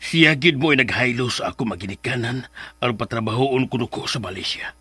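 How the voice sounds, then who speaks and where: Radio drama casting: long-suffering father, forceful edge, husky, earnest sorrow, dry close studio sound. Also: medium pitch, brisk, dry recording. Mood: sorrowful, resolute.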